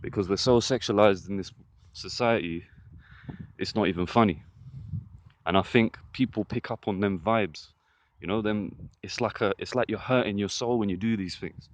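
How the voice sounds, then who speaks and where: Deep-voiced man giving earnest encouragement. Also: moderate pace. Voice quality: fairly deep voice. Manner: earnest, encouraging, keeps saying "listen" and "trust me". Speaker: vlogger. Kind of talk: casual motivational vlog monologue.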